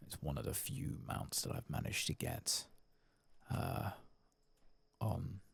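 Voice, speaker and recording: Low, breathy, soft-spoken man doing ASMR. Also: slow pace, no room sound. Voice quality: soft, breathy, often whispering. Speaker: ASMR gaming channel host. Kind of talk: soft-spoken ASMR game playthrough narration.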